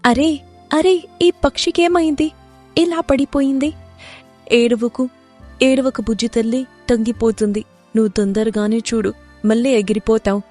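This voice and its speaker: A woman voicing a gentle, kind young princess in hiding, humbled, in a cartoon moral story. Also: fairly high pitch, steady tone, a little slow, long pauses, soft background music. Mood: tender concern.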